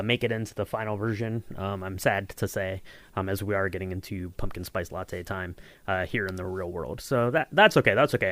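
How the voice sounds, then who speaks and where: A man's voice: on the low side, a bit bright, dry recording. A man co-hosting a podcast in a casual behind-the-scenes chat, rambling with lots of fillers and restarts. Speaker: podcast co-host.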